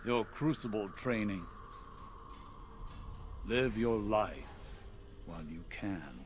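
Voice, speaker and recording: An actor playing a grave, world-weary warrior prophet in a video game cutscene, speaking in a solemn, deliberate, ominous way. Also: male voice, on the low side, lively intonation. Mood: grave, foreboding.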